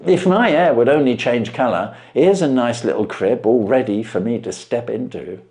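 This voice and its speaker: A man voicing an eager, smooth-talking shop assistant in a storybook reading, explaining things in a coaxing way. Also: mid-range voice, normal speed, dark tone, natural voice.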